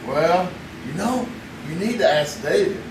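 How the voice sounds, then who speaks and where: A man's voice: sits high, animated, unhurried, background noise. An actor playing JC, a hesitant, good-natured young man who reads comic books, in a live stage radio drama. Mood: goofy. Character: naive young man JC, comic-book reader. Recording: echoey theater sound from a distant mic.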